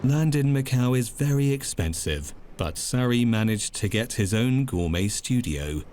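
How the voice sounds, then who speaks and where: A man narrating a documentary in a calm, measured, scripted way. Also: mid-range voice. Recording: music underneath.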